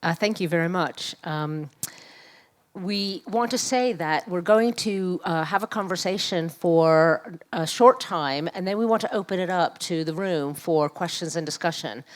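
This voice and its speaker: A middle-aged woman with a New York accent speaking conversationally at a public talk, halting and thoughtful. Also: on the low side. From New York, USA. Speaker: panel speaker, academic and activist. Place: live event room at a museum.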